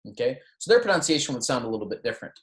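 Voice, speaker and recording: A language teacher with a mid-pitched, very animated delivery lectures beginners on pronunciation. The instruction is step by step, with frequent check-ins, in a roomy, echoing recording. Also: racing. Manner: instructional, checking in often with okay and right. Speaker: Spanish teacher. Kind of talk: introductory language lecture on pronunciation, with repeat-after-me examples. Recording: roomy, echoey sound.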